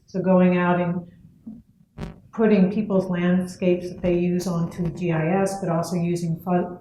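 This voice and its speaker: A woman's voice: fairly low pitch, mellow. A woman giving a keynote talk at an academic conference, speaking earnestly, with fillers between points. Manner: earnest, thoughtful, with frequent fillers. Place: lecture hall. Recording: echoey lecture hall, faint noise.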